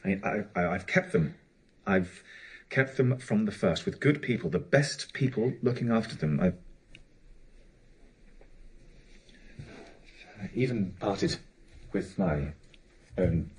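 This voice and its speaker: An actor playing an evasive, well-to-do bachelor in a period audio drama, halting and stammering as he speaks apologetically. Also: low-pitched, expressive, rough recording. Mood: awkward, burdened. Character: evasive, well-to-do bachelor gentleman, uncle of orphans.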